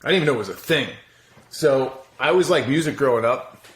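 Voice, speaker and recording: A man's voice: mid-range voice, fast. A man in his forties chatting as a podcast guest, his voice sitting right in the middle of the usual range. He talks loosely, swears often and laughs at his own stories. The recording has a noticeable roomy echo. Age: 40s. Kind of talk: casual interview chat.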